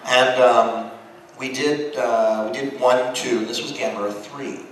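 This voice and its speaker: A man's voice: medium pitch, slow. An American man speaking as a voice actor on a convention panel, telling anecdotes informally and acting out bits of dialogue. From United States. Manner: animated storyteller, acts out dialogue. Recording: very echoey hall, distant mic, noisy.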